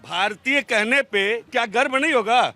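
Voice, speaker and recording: A man's voice: extremely high pitch, normal speed, bright, forceful tone. A man arguing his point in a street debate. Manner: argumentative. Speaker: debate participant.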